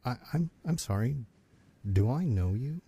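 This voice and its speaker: A man's voice: mid-range voice, lively intonation, a little slow, breathy edge. A man playing a kindly, flustered middle-aged unicorn stallion father in an amateur audio drama. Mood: confused, apologetic. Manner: hesitant, stammering, then loudly enunciating.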